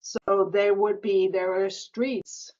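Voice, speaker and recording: A woman in her sixties from West Berlin with a German accent, telling her life story as an interview guest in a relaxed, explanatory way. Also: medium pitch, slow pace, dull audio. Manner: explanatory, with frequent restarts and filler "yeah". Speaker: guest, author and entrepreneur. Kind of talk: conversational storytelling.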